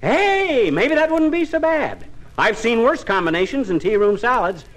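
An actor playing a boastful, excitable, slangy husband full of schemes in 1940s radio comedy. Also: male voice, very high voice, normal speed. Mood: excited, boastful. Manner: blustery, slangy. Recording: faintly noisy old radio recording.

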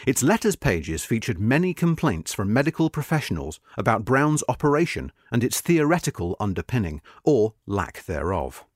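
A man reading a history magazine article aloud in a measured, expressive way, slowing at the ends of sentences. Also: lowish voice, no echo, studio quality. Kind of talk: scripted narration.

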